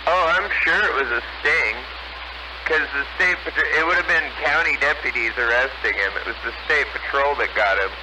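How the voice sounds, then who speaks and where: An American man chatting casually over CB radio, halting at times and repeating his words. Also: a little high. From United States. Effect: CB radio transmission. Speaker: CB radio operator. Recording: noisy CB radio transmission.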